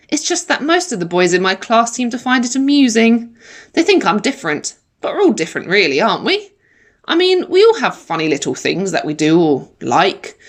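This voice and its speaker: A woman reading a children's story in the first person, with animated, dramatic emphasis like a primary school teacher. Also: mid-range voice. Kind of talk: expressive children's audiobook narration in first person.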